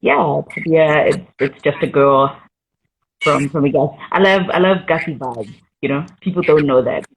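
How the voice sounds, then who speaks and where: A woman's voice: low voice, moderate pace, gravelly, a little hiss or hum, rough recording. A young South African woman, a singer, chatting casually about herself in an interview and laughing easily. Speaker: guest singer.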